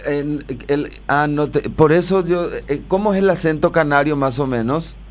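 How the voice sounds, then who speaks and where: A man's voice: on the high side, normal speed, slight background noise. Courteous man hosting a radio call. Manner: courteous, brief interjections and questions. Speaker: radio host. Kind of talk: radio interview.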